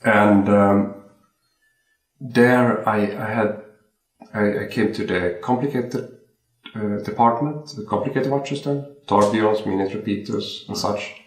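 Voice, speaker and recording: A young man from Sweden talks about his watchmaking career in an easy, halting way, restarting and repeating words as he thinks. His voice sits very low. The sound bounces around a home room. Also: fairly even intonation. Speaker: watchmaker guest. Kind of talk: casual interview chat. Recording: roomy home interview.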